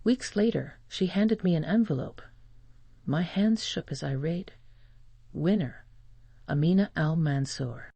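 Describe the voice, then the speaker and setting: This is a narrator reading a first-person story aloud in a measured, careful way. The tone is dark and mellow, with a husky grain and a frequent creak at the edges of the words. The delivery is that of a scripted reader for learners. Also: mid-range voice, no room sound.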